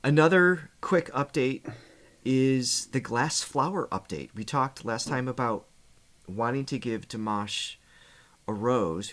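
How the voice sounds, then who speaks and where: A man's voice: on the low side, a bit bright. A middle-aged man hosting a music livestream in a casual, chatty way, friendly and joking as he talks. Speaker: host of a music reaction livestream. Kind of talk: casual livestream chat with announcements.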